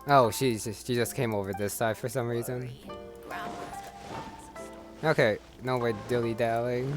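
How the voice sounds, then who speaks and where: A man's voice: mid-range voice, fairly even intonation, unhurried. A man giving casual gameplay commentary, muttering to himself as he plays. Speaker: gamer. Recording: clear game music behind.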